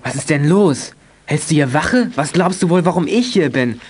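Male actor as exasperated teen boy, highish voice, indignant, radio play, faint noise. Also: lively intonation, moderate pace, darkish tone, natural voice. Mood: startled, indignant. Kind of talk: 1980s German children's radio play acting.

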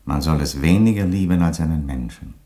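Very deep, measured Roman emperor. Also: male voice, mellow. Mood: wry, earnest. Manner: measured, dry, sententious. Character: aging Roman emperor, unhurried, wry, untragic father. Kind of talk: radio play acting.

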